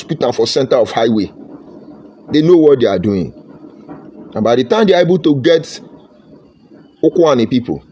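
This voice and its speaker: A man's voice: fairly high pitch, darkish tone, breathy edge. A Nigerian man giving a fervent, combative political monologue, slipping into Pidgin phrases. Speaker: political commentator.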